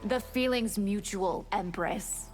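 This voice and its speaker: An actress playing a proud, bloodthirsty vampire in a video game, taunting in a measured way. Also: female voice, mid-range voice, a little mellow, soft background music, lightly acted, poor audio. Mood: menacing, scornful. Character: proud, menacing female vampire, bloodthirsty and scornful. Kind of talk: video game character dialogue.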